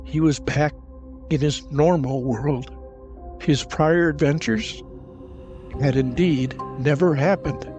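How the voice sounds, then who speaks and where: A man's voice: fairly high pitch, slow, long pauses, dull audio. A man giving dramatic, scripted narration for a fantasy audio drama. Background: music.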